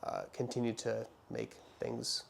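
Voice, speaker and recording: A man's voice: medium pitch, monotone, a little slow, creaky, noisy background. A man talking casually as a physical therapist, explaining things to a patient in a reassuring way and often checking they follow.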